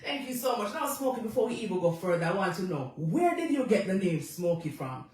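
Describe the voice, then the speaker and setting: A man hosting a relaxed radio interview, chatty and quick to laugh, repeating his guest's answers back. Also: sits high. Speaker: radio show host. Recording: echoey room, distant from the mic.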